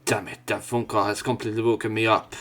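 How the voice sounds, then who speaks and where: A young man narrating a visual novel let's play, reading the story plainly and getting louder when voicing the hero. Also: lowish voice, level tone, fast talker, noisy background. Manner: reads narration plainly, jumps up louder for the hero's lines.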